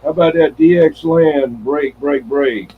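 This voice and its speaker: A man's voice: on the high side, a little slow, background noise. A man in casual, rambling, folksy conversation, hosting a CB radio live stream. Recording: dull, lo-fi CB radio sound.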